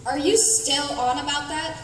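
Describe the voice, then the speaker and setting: A woman's voice: sits high, fairly even intonation, moderate pace, a bit bright. A woman playing a sensible, incredulous travelling companion in a comic radio drama, questioning indignantly. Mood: skeptical. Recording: echoey hall, distant, noisy with audience.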